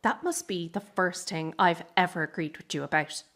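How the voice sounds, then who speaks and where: A woman acting in a radio drama as a bitter, defiant young circus performer, confrontational, sarcastic and quick to swear. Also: fairly low pitch, a bit bright. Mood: wary, guarded. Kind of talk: dramatic radio play dialogue.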